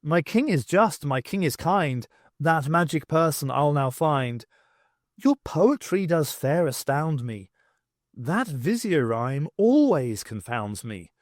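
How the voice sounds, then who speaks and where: A plucky storybook heroine posing as a pantomime boy, voiced by a man, speaks courtly sing-song rhymes. The pitch is high and lively. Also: takes it slowly, no echo, clean studio sound.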